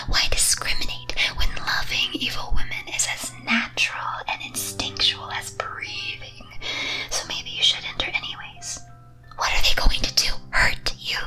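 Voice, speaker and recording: A woman delivers a theatrical ASMR monologue in a breathy, very crisp and bright whisper. She is slow and deliberate, with a teasing delivery that swings expressively. Soft music plays faintly behind her. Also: on the high side, low-quality recording. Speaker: streamer performing as a character.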